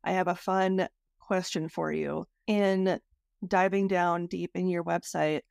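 A woman's voice: on the low side, steady tone, slow pace, vocal fry, a little mellow, dry recording, clean studio sound. A woman hosting a podcast interview in a casual, conversational style.

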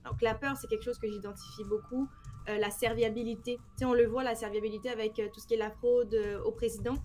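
A woman in her thirties from France, with a French accent and some Quebec expressions, explaining her work in a casual video-call interview with lively hand gestures. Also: on the high side, fast talker, soft background music. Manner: animated, explains with many examples, gestures with hands. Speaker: cybersecurity trainer, guest. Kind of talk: casual interview on a live stream. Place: home room, indoors.

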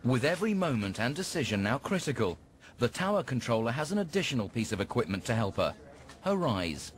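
A man's voice: medium pitch, very animated, a little fast, noisy background. A man reading scripted narration for a television documentary. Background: music.

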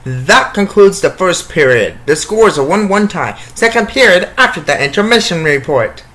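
A formal, welcoming male hockey announcer, played by a man for a cartoon comic dub. The voice sits a little high as it presents the game like a TV broadcast. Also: lively intonation, moderate pace, a bit bright, low-quality recording.

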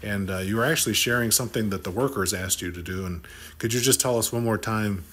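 A middle-aged man hosts a podcast from an office meeting room, speaking into a desk microphone. His voice sits a little low. He talks in an upbeat way and jokes with his guest. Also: fast talker, a bit bright. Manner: upbeat, joking, teasing. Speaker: podcast host. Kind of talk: lively on-site podcast chat. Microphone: desk podcast mic.

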